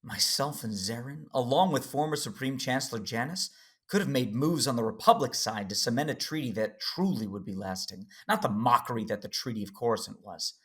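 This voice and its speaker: A man playing an earnest, worried Jedi father who tells his story in serious, deliberate audio drama narration. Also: mid-range voice, lively intonation, fairly bright tone, lightly acted. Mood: resolved. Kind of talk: first-person audio drama narration and dialogue.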